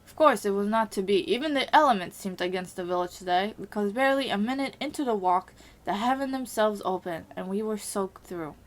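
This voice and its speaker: A woman's voice: medium pitch, fairly quick. Woman narrating a visual novel. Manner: reads steadily with small slips and restarts. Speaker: amateur voice actor.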